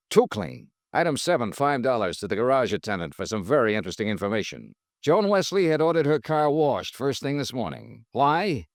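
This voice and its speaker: An actor playing a dogged, wry American insurance investigator in a 1950s radio drama, with clipped, probing questions and first-person narration. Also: male voice, on the low side, dry recording, clean studio sound.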